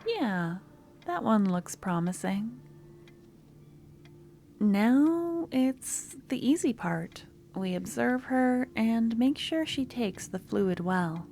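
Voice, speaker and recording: A woman playing a sweet but obsessive young student stalker in an ASMR audio roleplay, soft, coaxing and a little awkward as she talks to the listener. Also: on the high side, lively intonation, slow pace, vocal fry, faint music behind, exaggerated voice. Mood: soft, instructive.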